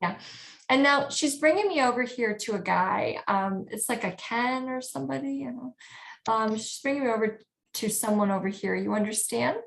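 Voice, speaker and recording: Woman medium on a video call: soft, higher voice, keeps asking if you follow. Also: normal speed, creaky. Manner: soft-spoken, frequent checking questions. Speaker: spiritual medium. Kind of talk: live spiritual reading.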